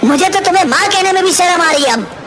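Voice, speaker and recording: A woman voicing a grown son in an animated village story, skeptical and indignant. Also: extremely high pitch, a little fast, a loud crowd, heavy background noise.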